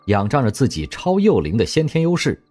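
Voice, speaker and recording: Breathy, lively male narrator. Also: medium pitch, fairly quick. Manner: expressive storytelling. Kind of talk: scripted audio drama narration. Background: faint music.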